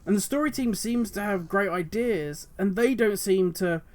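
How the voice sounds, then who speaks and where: A man with a notably high voice, his throat worn and going hoarse. He rambles passionately through his thoughts, musing aloud as the host of his own commentary video. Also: moderate pace, darkish tone. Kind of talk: solo commentary on game lore.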